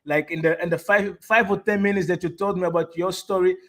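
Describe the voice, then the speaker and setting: A man hosting a live online interview, excitable and repeating himself, often stopping to restart a phrase. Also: high-pitched. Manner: enthusiastic, repeats words, halting restarts. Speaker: host of a live online show. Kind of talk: casual interview.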